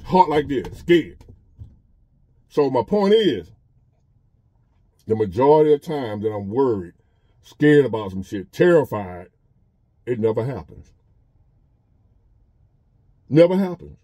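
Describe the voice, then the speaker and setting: A man's voice: mid-range voice, very animated, slow pace, long pauses, mellow, no room sound. A man, talking earnestly and repetitively to his phone camera from a parked truck, like a casual motivational talk. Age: 50s. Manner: earnest, repetitive, conversational preaching. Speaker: vlogger.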